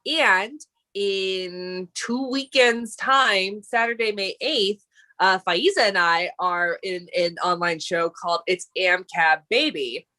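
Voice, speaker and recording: A woman's voice: mid-range voice, slow pace, bright, forceful tone. A woman co-hosting a live stream, chatting casually and rambling, with many fillers and restarts. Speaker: co-host of a dance talk stream.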